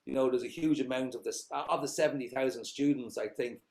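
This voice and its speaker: Middle-aged Irish founder, average pitch, meandering answers full of ums and uhs. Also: male voice, brisk, vocal fry, a little mellow. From Ireland. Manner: rambling, many fillers and restarts. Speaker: company founder, guest. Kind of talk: casual interview answers.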